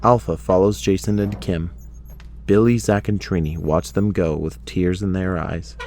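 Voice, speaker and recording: A man's voice: low voice, steady tone, measured pace, over loud music. A man reading scripted narration for an audio drama.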